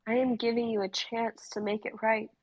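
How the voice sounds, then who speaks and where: A woman acts a vengeful young woman turned foe. Her delivery is cold and measured, with a flat, even pitch, in a fantasy script-reading style. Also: natural voice, poor audio. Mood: cold challenge. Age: young adult.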